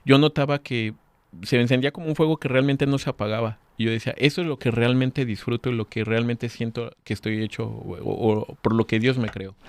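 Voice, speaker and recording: A man's voice: medium pitch, steady tone, fairly quick, darkish tone. A man, telling his story as a guest in an earnest, searching way. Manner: earnest, restarts words while thinking. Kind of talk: casual conversation.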